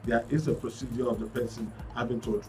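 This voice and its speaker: A man hosting a live call-in radio talk show, briskly taking callers and guests with quick acknowledgements. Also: medium pitch, fairly even intonation, distant mic, music in the background. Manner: brisk, cuts in with short acknowledgements. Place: radio studio.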